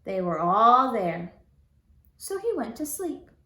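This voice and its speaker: A woman teacher reading a storybook aloud to young children in an expressive, deliberate way. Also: fairly high pitch, roomy sound. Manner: deliberate, expressive, prompting listeners with questions.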